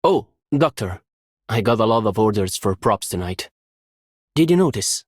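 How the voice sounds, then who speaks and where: A man's voice: lowish voice, expressive, normal speed, slightly rough. A man voicing an easygoing, obliging craftsman in a video game. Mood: friendly, obliging. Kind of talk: scripted game character voice lines.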